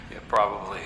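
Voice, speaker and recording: A man playing a self-doubting, haunted character in a video game, speaking in a halting, subdued way. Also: sharp tone, slight background noise, exaggerated voice. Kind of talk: dramatic game dialogue. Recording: roomy echo, music behind.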